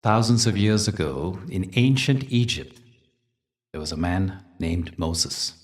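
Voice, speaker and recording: A man hosting a guided meditation, speaking and telling stories in a gentle, deliberate, soothing way with dramatic pauses. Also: low-pitched, animated, recorded from a distance. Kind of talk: guided meditation talk with storytelling.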